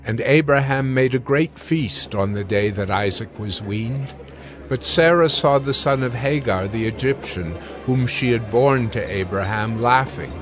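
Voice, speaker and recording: A man narrating scripture from a script in a formal, measured way. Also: medium pitch. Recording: background music under the voice.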